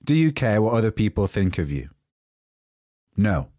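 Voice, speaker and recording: A man hosting a motivational podcast, a bit croaky from a cold, talking in an informal, chatty, unscripted way. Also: fairly low pitch, sing-song, normal speed, warm tone, no room sound, studio quality. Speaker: podcast host. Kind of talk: motivational talk.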